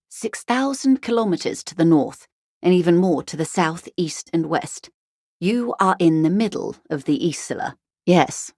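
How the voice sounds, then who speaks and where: A genteel worldly lady, low-pitched, close dry sound, eloquent, composed, wry, gracious, video game dialogue. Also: darkish tone, no echo. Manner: eloquent, unhurried, genteel, dryly philosophical. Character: refined, composed, worldly upper-class woman, wry and gracious. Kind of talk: video game dialogue, measured explanatory monologue.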